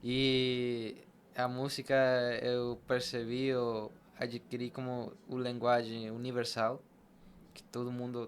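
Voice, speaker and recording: A man's voice: mid-range voice, a little hiss or hum. A young man from Latin America, telling his personal story conversationally as a podcast guest.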